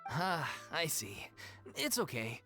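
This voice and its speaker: Earnest, animated amateur theatre man. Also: on the high side, slow pace, faint music behind, exaggerated voice. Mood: dejected. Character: earnest, downhearted amateur theatre troupe leader, humble man. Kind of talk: video game character dialogue.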